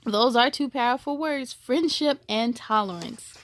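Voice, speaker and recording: A woman's voice: on the high side, unhurried, noisy background. A woman hosting a storytime, reading a children's book aloud expressively with chatty, encouraging asides.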